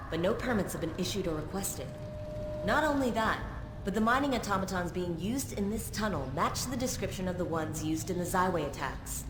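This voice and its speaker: A woman voicing a cool, determined informant in a fantasy game. Her slightly low voice is direct and formal, strictly business. It echoes, with distinct noise around it. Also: lightly acted. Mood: composed, businesslike. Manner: direct, formal, matter-of-fact. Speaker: game character. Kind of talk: dramatic video game voice acting. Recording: echoing space with clear background noise.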